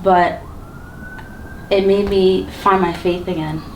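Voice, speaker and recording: A woman with a slightly low voice talks casually and openly, peppering her words with likes and ums. She sits some way from the mic in a room with noticeable echo. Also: steady tone, slow pace, slight background noise. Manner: casual, chatty with fillers. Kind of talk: personal interview. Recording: roomy sound from a distance.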